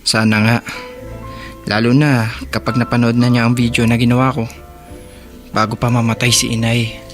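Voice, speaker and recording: An actor in a Tagalog radio drama playing an earnest, remorseful older brother who seeks understanding. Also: male voice, medium pitch, little pitch movement, moderate pace, music in the background, lightly acted. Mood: troubled, regretful.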